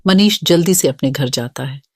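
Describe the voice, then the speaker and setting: Female narrator for children's moral stories: expressive melody, scripted delivery, dry close recording. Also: low-pitched, moderate pace, no echo.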